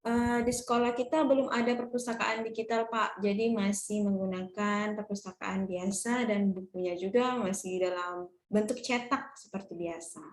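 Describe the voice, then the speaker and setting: Polite, deferential woman teacher, mid-pitched, recorded in an echoey room. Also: fairly even intonation, normal speed. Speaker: English teacher. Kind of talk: coaching conversation.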